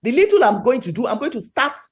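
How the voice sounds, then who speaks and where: A woman's voice: on the high side, sing-song, fast. A middle-aged Nigerian woman with a Nigerian accent, giving an impassioned, emphatic talk at a webinar and repeating words for stress. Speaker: webinar speaker.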